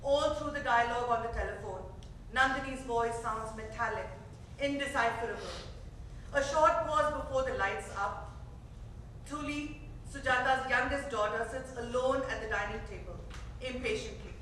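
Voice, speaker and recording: A woman's voice: fairly high pitch, normal speed, fairly bright tone. A woman reading stage directions as the narrator of a staged play reading. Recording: echoey theater from far off, faint noise.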